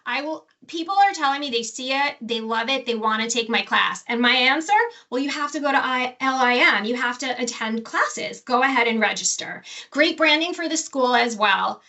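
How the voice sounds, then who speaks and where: Bright-voiced woman presenting from a distance. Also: sits high, normal speed. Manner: enthusiastic, with frequent restarts and self-corrections. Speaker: professor giving a presentation. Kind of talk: casual presentation with slides. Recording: distant from the mic.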